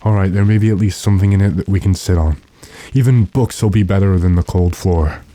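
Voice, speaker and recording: A man playing a weary, decisive gentleman master with a dry, commanding manner in an old-time radio drama. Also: low-pitched, brisk, no room sound, close to an everyday voice. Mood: resolute.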